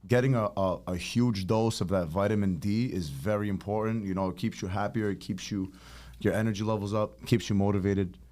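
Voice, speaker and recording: Young male podcast host from New York, slightly low voice, loose and profane. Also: a little fast, darkish tone. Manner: laid-back, swears freely, rambling. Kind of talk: casual podcast chat.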